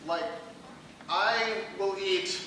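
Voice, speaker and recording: A man's voice: sits high, very slow, noisy background. A man improvising a musing stage monologue, listing things as he thinks. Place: small theater stage. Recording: echoey hall from far off.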